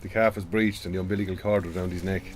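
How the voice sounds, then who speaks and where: A man's voice: low voice, steady tone, fast talker, noisy background, lightly acted. A man playing a blunt, practical Irish cattle farmer in a radio drama, talking colloquially with an Irish accent. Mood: sympathetic.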